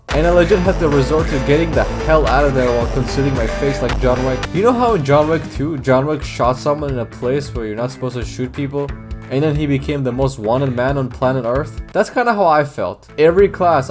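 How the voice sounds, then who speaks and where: A young man from Georgia, telling school stories in a casual, edgy, sarcastic way. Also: medium pitch. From Georgia (country). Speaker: YouTuber. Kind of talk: comedic storytelling narration. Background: background music.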